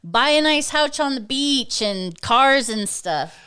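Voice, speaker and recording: A woman's voice: high-pitched, lively intonation, slow, sharp tone, clear, resonant tone. A woman in her thirties, a podcast guest, joking and teasing her husband in casual chat on a studio mic. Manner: playful, teasing. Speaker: guest, host's wife. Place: small brick-walled studio.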